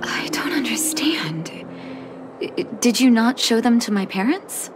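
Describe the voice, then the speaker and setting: An actress voicing a caring, composed woman doctor in a video game, speaking in a soft, measured, earnest way. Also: on the high side, expressive. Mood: surprised, questioning. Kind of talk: scripted video game voice acting. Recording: studio voice over game music.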